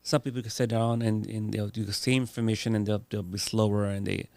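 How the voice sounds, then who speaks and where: Low gravelly male host, playful questions. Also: little pitch movement, brisk, a little mellow, dry recording. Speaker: interview host. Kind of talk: casual interview chat.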